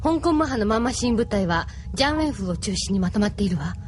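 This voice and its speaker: A woman's voice: mid-range voice, lively intonation, fast, soft background music, lightly acted. An actress playing a confident female tactician assessing the enemy ace.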